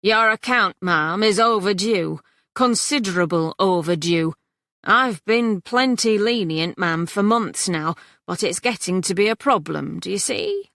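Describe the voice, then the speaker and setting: Slimy, threatening male coalman, drawn-out emphasis, read by a woman. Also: medium pitch, a bit bright, no echo, clean studio sound. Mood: menacing. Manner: slow, heavy emphasis.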